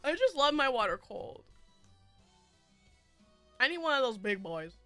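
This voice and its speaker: High bubbly giggly woman streamer. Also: sing-song, unhurried, bright, forceful tone, no echo, soft background music. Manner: bubbly, rambling, frequent giggles. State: stuffy nose. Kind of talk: casual chat with viewers.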